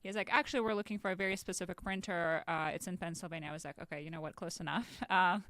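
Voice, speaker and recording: A woman's voice: fairly low pitch, steady tone, racing, fairly bright tone, no room sound. A young woman from Ukraine, a guest on a podcast, talking casually with many fillers and small laughs. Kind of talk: casual interview talk.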